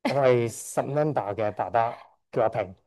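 A man's voice: mid-range voice, normal speed, darkish tone. A middle-aged man from Hong Kong giving a church testimony, hesitant, often correcting himself and chuckling shyly. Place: church service.

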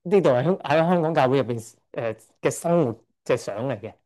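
A middle-aged man from Hong Kong speaks at a church service with a mellow, warm voice. He is hesitant, stops often to correct himself and lets out a shy chuckle now and then. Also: mid-range voice, expressive, a little fast. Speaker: church member giving testimony. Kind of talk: church testimony speech.

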